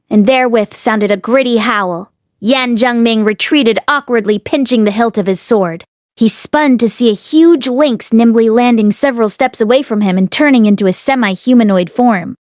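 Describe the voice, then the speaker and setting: A woman reading a fantasy novel aloud as scripted narration, in an expressive storytelling manner. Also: on the high side, no room sound, studio quality. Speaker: audiobook reader.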